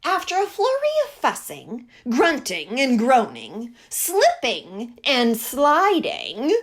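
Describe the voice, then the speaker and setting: High-pitched, very animated woman storyteller. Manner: deliberate, dramatic reading. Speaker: teacher reading aloud to young children. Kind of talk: expressive picture-book storytelling.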